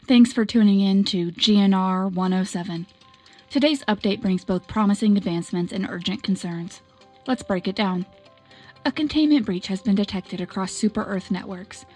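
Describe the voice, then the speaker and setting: A woman playing a composed military news anchor, reading a scripted wartime bulletin in a formal, measured way. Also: medium pitch, darkish tone, lightly acted.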